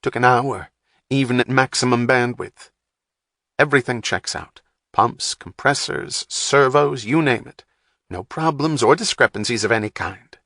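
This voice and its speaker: A man's voice: medium pitch, unhurried, sharp tone, no room sound, studio quality, pitched above the performer's own voice. A man voicing an irritable, profane male computer technician who snaps at everyone. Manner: snapping, abrasive, exasperated.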